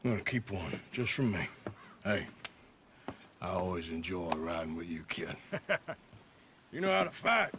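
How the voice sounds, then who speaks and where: An actor playing a gruff, world-weary outlaw gunslinger in a video game, with a dry, skeptical, blunt manner. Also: male voice, deep voice, big pitch swings, unhurried, long pauses, background noise, heavily acted. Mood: friendly. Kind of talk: video game cutscene acting. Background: loud game score.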